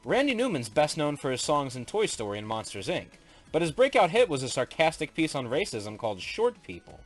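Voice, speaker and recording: A man's voice: fairly high pitch, big pitch swings, brisk, soft background music. A man giving matter-of-fact, explanatory comments in casual spoken commentary.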